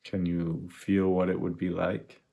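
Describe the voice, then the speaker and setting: Very low, gravelly male meditation guide. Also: flat delivery, mellow. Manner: unhurried, long pauses, reflective questions. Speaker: meditation guide, spiritual teacher. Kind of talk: guided meditation, contemplative teaching.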